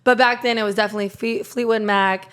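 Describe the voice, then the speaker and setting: A young woman from Iowa with a raspy voice, chatting casually as a podcast guest, full of filler words. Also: on the high side, fairly even intonation, fast, a bit bright. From Iowa, USA. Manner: rambling, lots of "like" fillers. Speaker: guest, country singer. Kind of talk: casual interview chat.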